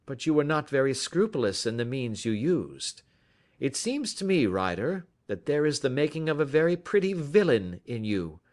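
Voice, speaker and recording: A self-assured gentleman detective, voiced by a male reader, unhurried and genteel, a touch raised in pitch with lively rises and falls. He sounds quietly amused, the speech of an old storybook. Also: lightly acted. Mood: coolly accusing. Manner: polished, measured, sweetly ironic. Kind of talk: audiobook character voice.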